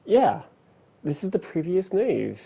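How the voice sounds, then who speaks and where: A man's voice: sits high, very animated, slow pace, gravelly, warm tone, breathy edge. A man streaming a video game with casual, reactive commentary, blunt and incredulous in manner.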